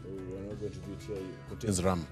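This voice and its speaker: A man with a fairly low voice explains his craft in short, halting bursts full of fillers. He talks as a guest chef on a studio kitchen set, picked up by a lapel mic. Faint music plays underneath. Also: little pitch movement. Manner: hesitant, many fillers, speaks in short bursts. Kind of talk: casual cooking-show chat.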